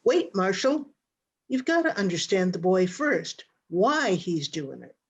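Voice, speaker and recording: A woman's voice: medium pitch, sing-song, a little slow, slightly rough, heavily acted. A woman playing a worried, hard-up frontier widow in a radio western, with plain rural, hesitant, apologetic speech. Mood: worried, pleading. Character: worried, starving frontier widow searching for her son. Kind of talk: old-time radio western acting. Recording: dull, lo-fi video-call audio.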